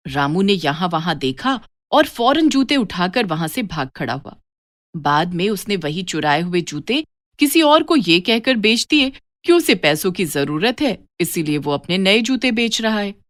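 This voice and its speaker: Lively woman storyteller, dry sound. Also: on the low side, measured pace, no echo. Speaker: storyteller, narrator. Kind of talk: scripted children's moral story narration.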